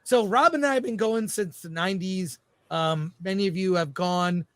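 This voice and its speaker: High-pitched middle-aged male host. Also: normal speed, fairly bright tone, clear, resonant tone, dry recording. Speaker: show host. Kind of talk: casual panel hosting.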